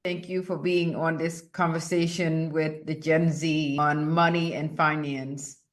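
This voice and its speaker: A low-pitched woman hosting a relaxed conversation, chatty and prone to restarting her thoughts. Her voice carries a roomy echo. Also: a little slow. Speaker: podcast host. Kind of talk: casual interview.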